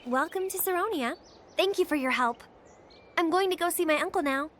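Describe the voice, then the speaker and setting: A woman's voice: extremely high pitch, brisk, fairly bright tone, slight background noise. An actress playing a sweet, polite young girl in a fantasy video game. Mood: grateful, polite. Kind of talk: video game cutscene acting.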